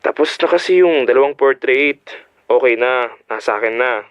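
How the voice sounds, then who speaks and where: A man's voice: medium pitch, moderate pace, clean tone, dry recording, lo-fi sound, close to an everyday voice. A man voice-acting a hesitant, apologetic young friend on a call in a Filipino audio drama. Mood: sheepish, apologetic. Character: sheepish, apologetic young male friend on phone.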